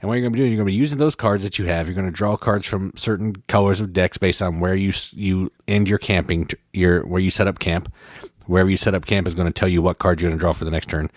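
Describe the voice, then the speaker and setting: A man's voice: low-pitched, fairly even intonation, fast talker, dry recording, clean studio sound. A man co-hosting a podcast, explaining game rules in casual chat, rambling and correcting himself. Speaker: podcast co-host.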